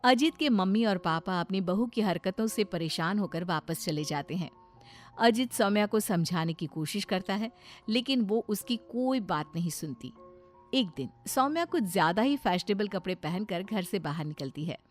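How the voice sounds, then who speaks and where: A woman reading scripted narration for an animated story. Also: mid-range voice, normal speed, faint music behind.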